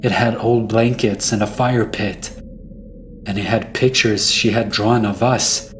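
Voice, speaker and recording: A man's voice: fairly low pitch, fairly even intonation, unhurried, slight background noise, low-quality recording. A man narrating a scripted first-person horror story. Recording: music behind the voice.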